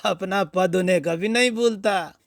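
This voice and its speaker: A man's voice: high voice, measured pace, no room sound, clean studio sound, voice pushed up. A man voicing an indignant friend in a storybook reading, giving opinionated advice in an emphatic, mocking manner. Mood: scornful.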